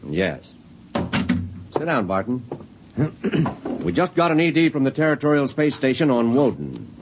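An actor playing a businesslike space fleet commander, terse and official, in a 1950s radio drama. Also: male voice, mid-range voice, big pitch swings, a little slow. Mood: businesslike, serious. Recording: faintly noisy 1950s radio recording.

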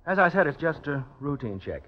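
A man's voice: fairly high pitch, sing-song, fairly quick. An actor playing a businesslike male insurance agent with an offhand manner in an old-time radio drama. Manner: matter-of-fact, offhand. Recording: dull, hissy vintage radio recording.

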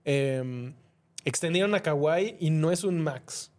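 A Mexican man with a somewhat high voice talks sports as a podcast co-host. His way of speaking is casual and full of slang, like an informal chat among friends. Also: takes it slowly.

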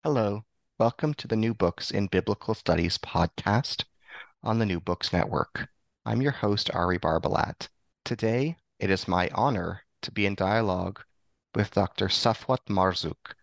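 Male podcast host, very low voice, gravelly, formal, dry close sound. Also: no echo. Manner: formal, measured. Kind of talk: scripted podcast introduction.

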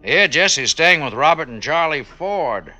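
An actor playing a bold, goading American sheriff in an old-time radio western, with a folksy, insistent way of talking. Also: male voice, fairly high pitch, expressive, unhurried, pushed and bright, heavily acted. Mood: firm, pressing. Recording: dull vintage radio recording, faint music.

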